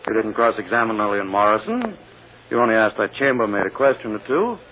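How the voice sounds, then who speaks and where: A man's voice: on the low side, very animated, a little fast. An actor playing a suspicious, smugly menacing male prosecutor in an old radio drama, pointed and insinuating in his talk. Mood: smugly needling. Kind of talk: 1940s-50s radio drama acting. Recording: tinny, hissy old radio recording.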